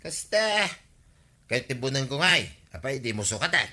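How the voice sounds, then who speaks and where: A man's voice: mid-range voice, big pitch swings, normal speed, pushed and bright, heavily acted. An actor playing an aggrieved, gossipy village man in an Ilocano radio comedy drama, with an Ilocano accent and a complaining, exclamatory manner. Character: aggrieved, gossipy village man demanding his lost things back.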